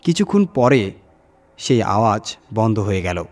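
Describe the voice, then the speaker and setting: A man's voice: lowish voice, very animated, measured pace, a little mellow. A man reading a scripted first-person horror story as its narrator. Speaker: story reader. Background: background music.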